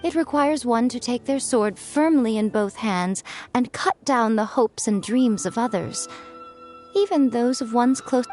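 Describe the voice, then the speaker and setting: An actress playing a sly, elegant noblewoman in a game, speaking with teasing, composed calm. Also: mid-range voice, natural voice.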